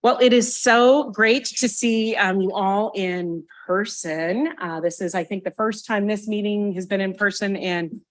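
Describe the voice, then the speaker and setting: A woman chairing a public commission meeting, with a loud voice, friendly and full of ums and restarts. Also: medium pitch, measured pace, hardly any pauses. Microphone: sensitive table microphone held off to the side.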